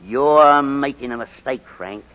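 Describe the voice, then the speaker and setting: A man's voice: mid-range voice, a little slow, lightly acted. An actor playing a sly, falsely genial male blackmailer who wheedles and taunts in 1940s radio drama style. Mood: sly, wheedling. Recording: muffled, reverberant 1941 radio broadcast.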